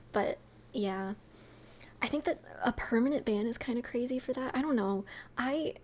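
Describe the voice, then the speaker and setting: A woman's voice: mid-range voice, darkish tone. A young woman with a sweet voice, chatting casually with her stream viewers, rambling with lots of fillers and light laughs.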